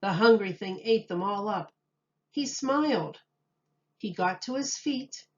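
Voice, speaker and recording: A woman reads a children's storybook aloud in a slow, deliberate and expressive manner. Her pitch is in the middle range for a woman. The recording is a little dull and lo-fi. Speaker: teacher reading a picture book aloud.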